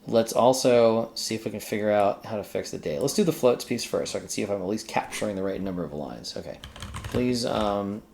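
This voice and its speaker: Real low-voiced guy w/ vocal fry talking himself through code, halting, some background noise and typing. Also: a little mellow. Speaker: tutorial host, accountant who codes. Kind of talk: casual screen-recorded coding walkthrough, thinking aloud.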